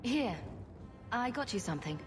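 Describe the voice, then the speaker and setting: Dry assertive big sister hero in a fantasy game, lively pitch, reverby with music. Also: female voice, moderate pace. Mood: calm. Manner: wry, dry. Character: wry, assertive young adult elder sister, fantasy heroine. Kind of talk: dramatic video game acting. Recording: long reverb, music behind.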